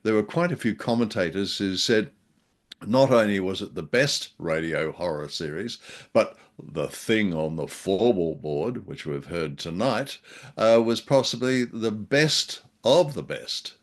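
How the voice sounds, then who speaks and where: A man's voice: lowish voice, animated, unhurried. A middle-aged man co-hosting a casual review chat with jocular, dry humour. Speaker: podcast co-host.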